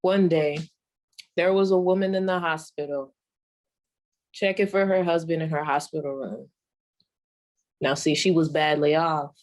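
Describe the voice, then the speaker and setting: A woman playing a sassy old 1940s radio comic, telling stand-up stories in a folksy, deadpan way with drawn-out pauses. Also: low voice. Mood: wry storytelling. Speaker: comedian performing a monologue.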